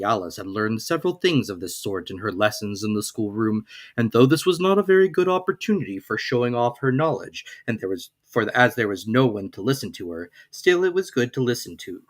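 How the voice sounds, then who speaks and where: A narrator reading a classic children's story aloud in an expressive storytelling style. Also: mid-range voice, brisk. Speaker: audiobook narrator.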